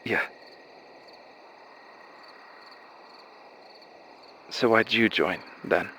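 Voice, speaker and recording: Man acting as low-voiced, hesitant young soldier. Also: sharp tone, a little hiss or hum. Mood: gentle, probing. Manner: hesitant, halting confessions with sighs. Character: anxious young soldier, earnest, doubting, former engineer. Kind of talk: naturalistic dramatic dialogue in an animated horror series.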